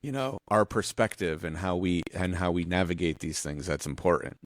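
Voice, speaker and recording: Low-voiced man in casual chat. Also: moderate pace, no room sound, clean studio sound. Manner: sweary, slangy, informal. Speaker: podcast co-host.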